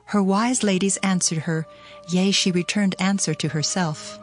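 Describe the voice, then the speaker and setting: A woman voicing a dramatic storyteller in an expressive, theatrical scripture reading. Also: mid-range voice, moderate pace, close to an everyday voice. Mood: anxious. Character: female storyteller voicing anxious mother and boastful ladies. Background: music.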